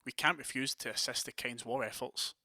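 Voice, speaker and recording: A man's voice: mid-range voice, a little fast, pushed and bright, dry recording. A man playing a commanding, decisive vampire prince in an audio drama, with a formal, authoritative manner. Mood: firm, assured.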